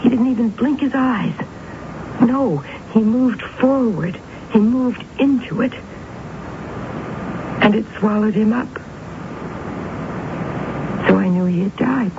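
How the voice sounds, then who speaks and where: An actress playing an intense, eerily certain young woman with visions, in a solemn, deliberate 1970s radio drama style. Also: fairly high pitch. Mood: entranced. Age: 20s. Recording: muffled, very noisy old radio broadcast.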